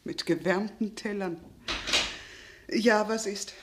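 A woman's voice: mid-range voice, slow pace, natural voice. Actress as concerned, attentive society lady. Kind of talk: 1960s German radio drama acting. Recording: faintly noisy 1960 radio recording.

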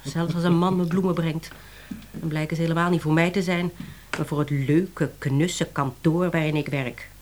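Actress as a lowish-voiced female publishing boss. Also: steady tone, normal speed, a little mellow, slight background noise, natural voice. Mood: exasperated, tart. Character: busy, slightly exasperated female publishing department head. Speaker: actress in a radio drama. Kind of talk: radio drama dialogue.

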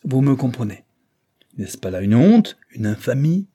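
A man voicing an irate, stubborn older judge who shouts and hectors. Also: fairly low pitch, sing-song, normal speed. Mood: indignant.

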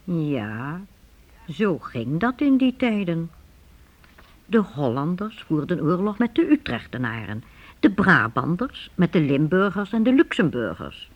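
Lively woman storyteller. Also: on the low side, measured pace. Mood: wry, explaining. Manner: explanatory storytelling. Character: wry storytelling woman narrator of medieval times. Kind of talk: radio drama narration. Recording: dull old radio recording.

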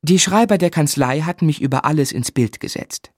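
An actor playing an earnest, courteous young gentleman who narrates and speaks in a formal, measured way in a German literary radio drama. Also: a little high, no room sound, clean studio sound, close to an everyday voice. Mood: reflective.

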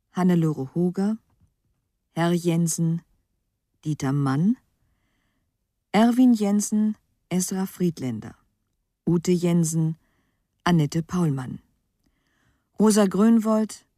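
A woman radio announcer reading scripted credits in a calm, formal, measured manner. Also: on the low side, no echo, studio quality. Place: dry studio.

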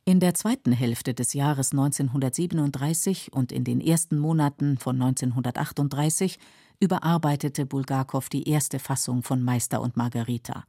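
Documentary narrator: mellow, sober, measured scripted read, close and dry sound. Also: mid-range voice, dry recording.

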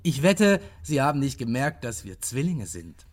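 A man's voice: mid-range voice, sing-song, moderate pace. A man playing a cocky, menacing small-time crook in a radio crime drama, taunting and theatrical in delivery. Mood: mocking, excited.

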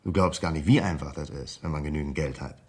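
Radio thriller: escaped convict blackmailer, deep and husky, sardonic, controlled, quietly threatening, insistent on money. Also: male voice, quick pace. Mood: menacing, insistent. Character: cool, menacing escaped convict demanding money. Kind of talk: German radio crime drama acting.

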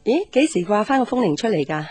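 Slightly husky Hong Kong mother. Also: mid-range voice, very animated, fast, a little mellow, dry recording, soft background music. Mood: casual, curious. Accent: Hong Kong Cantonese. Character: middle-aged mother, casual, caring, brushing off concern. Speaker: voice actor in a radio drama. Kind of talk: naturalistic Cantonese radio drama dialogue.

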